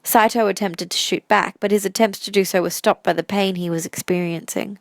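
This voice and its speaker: A woman's voice: mid-range voice, steady tone, brisk, gravelly, no echo, studio quality. A woman reading scripted story narration for an audio drama.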